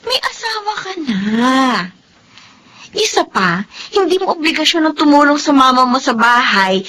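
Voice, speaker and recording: A woman's voice: high voice, big pitch swings, moderate pace, bright, forceful tone, full character voice. Woman playing a scolding friend. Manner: lecturing, scolding. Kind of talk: radio drama acting.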